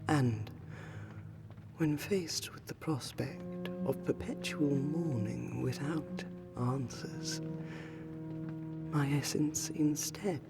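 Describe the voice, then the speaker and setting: A woman playing an exhausted, grieving, centuries-old noble lady vampire in a fantasy audio roleplay, speaking formally and haltingly, sometimes dropping to a breathy whisper. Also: low-pitched, heavily acted. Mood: grieving, solemn. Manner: formal archaic diction, halting, sighing, slipping into breathy whispers. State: exhausted and pained. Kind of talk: fantasy roleplay audio drama, intimate monologue. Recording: music behind the voice. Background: music.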